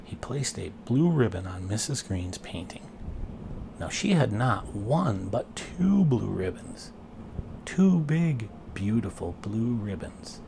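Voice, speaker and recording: Guy reading a picture book to kids, really slow and expressive, noisy recording. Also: lowish voice, creaky. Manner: expressive, deliberate phrasing with pauses. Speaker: storybook reader and dad. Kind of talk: children's picture book read-aloud.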